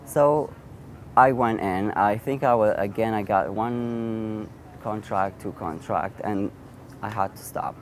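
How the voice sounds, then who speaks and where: A man in his thirties with a fairly low voice tells his own story in an interview. His is Assyrian, and he speaks haltingly, restarting and repeating words as he goes. A faint hiss of background noise sits under his voice. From Iraq. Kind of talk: personal storytelling.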